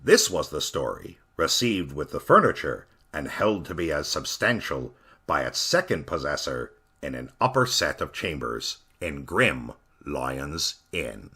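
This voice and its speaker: A man narrating a Victorian ghost story in a theatrical, expressive storyteller manner. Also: a bass voice, measured pace, slightly rough.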